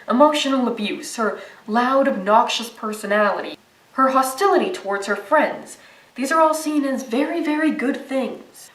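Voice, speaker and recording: A woman's voice: fairly high pitch, reverberant, recorded from a distance, rough recording. A young woman narrating a video essay in a casual, sarcastic and profane way.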